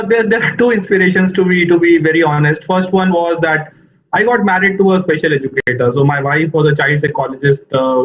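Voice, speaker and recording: A man from India speaking hesitantly as a startup founder on a virtual panel, filling pauses with uh and you know. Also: on the high side, dull audio. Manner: hesitant, frequent uhs and you knows. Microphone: video call.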